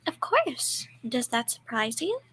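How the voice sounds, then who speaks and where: High-voiced grieving widow played by a woman. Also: big pitch swings, takes it slowly, fairly bright tone, exaggerated voice. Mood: wounded, intense. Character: grieving, reclusive young war widow, polite and fragile. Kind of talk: old-time radio drama acting, amateur school performance. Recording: clear background noise.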